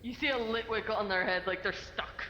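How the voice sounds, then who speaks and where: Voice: medium pitch, normal speed, bright, forceful tone, muffled recording. A game master improvising a roleplay, narrating the action and speaking for characters. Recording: echoey reverberant voice chat with faint noise.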